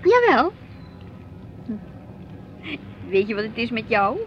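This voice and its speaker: A teasing wife, higher-pitched. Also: female voice, big pitch swings, takes it slowly. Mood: insistent. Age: middle-aged. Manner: teasing, questioning, mildly protesting. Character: teasing, gently assertive middle-aged housewife. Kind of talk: radio drama acting. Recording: dull lo-fi radio play, faint noise.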